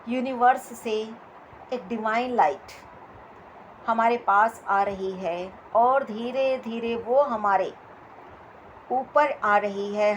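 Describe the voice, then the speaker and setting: A woman leading a guided meditation as instructor, with soothing, repetitive phrasing and pauses between short instructions. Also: a little high, slight background noise, rough recording.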